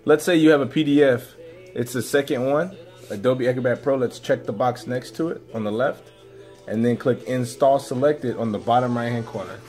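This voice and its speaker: A man's voice: mid-range voice, moderate pace, a little mellow. Middle-aged man, teacher, upbeat folksy manner, classroom, phone-recorded, faint guitar behind. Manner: upbeat, folksy, steps through clicks aloud. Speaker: teacher leading a staff tech demo. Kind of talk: casual classroom screen demo. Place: school classroom with computers.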